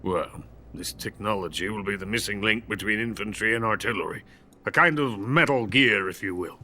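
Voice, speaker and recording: A bitter, boastful drunken old scientist. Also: male voice, on the low side, normal speed, bright, forceful tone, a little hiss or hum. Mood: grandiose enthusiasm. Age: older. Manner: grandiose, self-pitying, theatrical rambling. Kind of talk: dramatic video game voice acting.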